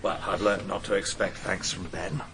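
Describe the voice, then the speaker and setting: An actor playing a pompous, cold businessman giving blunt, disapproving testimony in clear, ringing tones in a radio drama. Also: deep voice, flat delivery, normal speed, noisy background. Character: pompous, cold businessman stepfather in the witness box.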